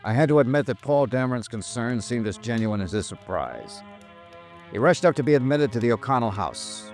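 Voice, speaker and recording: A man playing a tough, world-weary insurance investigator in a 1950s radio drama, clipped and deadpan. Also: lowish voice, lightly acted. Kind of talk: 1950s radio detective drama acting and narration.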